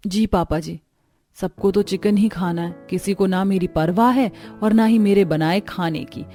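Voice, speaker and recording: A woman's voice: mid-range voice, measured pace, mellow, faint music behind, natural voice. A woman voicing a hurt, neglected daughter-in-law in a cartoon family drama, speaking plaintively. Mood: hurt, resigned. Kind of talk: Hindi cartoon story dialogue.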